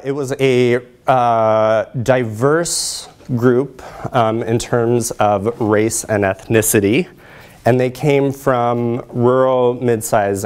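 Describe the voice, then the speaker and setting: A man's voice: medium pitch, darkish tone, clear, resonant tone. A man in his thirties giving an academic research presentation in a conversational way, checking in with his audience. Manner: conversational, checks in with audience using short tag questions. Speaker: social work researcher and presenter. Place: lecture room, standing by projection screen.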